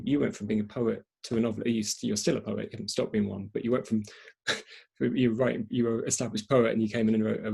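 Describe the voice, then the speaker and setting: A man's voice: mid-range voice, gravelly, darkish tone, slightly rough. A man hosting a panel discussion in spontaneous talk, hesitantly repeating and rephrasing as he goes. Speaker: event host and moderator.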